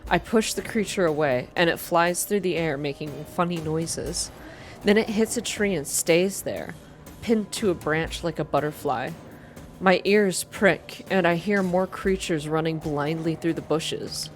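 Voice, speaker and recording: A woman reading first-person story narration aloud in a soft, hushed, measured ASMR style. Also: lowish voice, soft background music. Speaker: narrator reading a visual novel game aloud.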